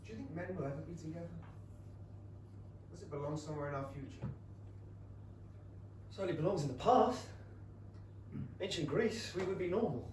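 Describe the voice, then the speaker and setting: An actor playing an anxious gentleman of Regency England in a staged theatre reading, formal and earnest. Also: fairly high pitch, moderate pace, pauses often, close to an everyday voice. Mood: earnest, yearning. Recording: very echoey theatre, distant noisy mic.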